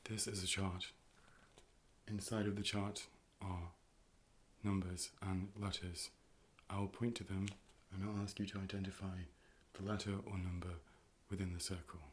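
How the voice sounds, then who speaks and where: A deep, nearly unvarying voice belongs to a polite artificial optician acted out by a man in an ASMR eye exam role play. Each phrase is measured, formal and clinical, delivered with calm robotic deadpan. A faint noise sits behind the voice. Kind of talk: ASMR medical role play, personal attention.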